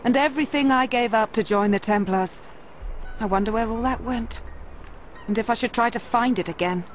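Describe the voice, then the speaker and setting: An actress playing a wry, independent young former Templar in a video game, with a measured delivery. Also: female voice, fairly high pitch, a little hiss or hum, natural voice, rough recording. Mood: wistful. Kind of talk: scripted video game cutscene acting.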